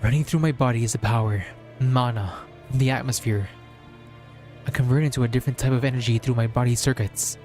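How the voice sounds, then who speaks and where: A man reads a visual novel aloud as dramatic first-person narration, measured and solemn, in short deliberate phrases. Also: mid-range voice, darkish tone. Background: background music.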